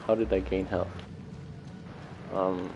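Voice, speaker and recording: A man's voice: low voice, little pitch movement, takes it slowly, a little mellow, muffled recording, a little hiss or hum. A man livestreaming a video game, muttering short casual reactions to himself as he plays. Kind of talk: casual gameplay commentary. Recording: game music playing behind voice. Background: video game music.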